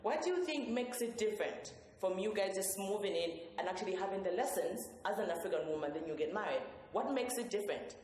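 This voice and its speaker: A woman's voice: mid-range voice, animated, a little mellow. A woman co-hosting a panel discussion, asking probing questions and then firmly stating her own view. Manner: quick, probing questioner, firm opinions. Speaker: co-host. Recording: echoey roomy hall with faint noise.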